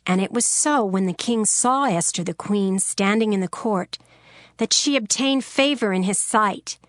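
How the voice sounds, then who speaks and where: A woman narrating scripture in a measured, formal reading. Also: medium pitch, dry recording, studio quality.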